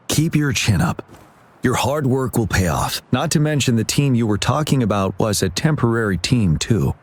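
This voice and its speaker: A man playing a calm, courteous military general in a video game, formal and reassuring. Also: medium pitch, normal speed, natural voice.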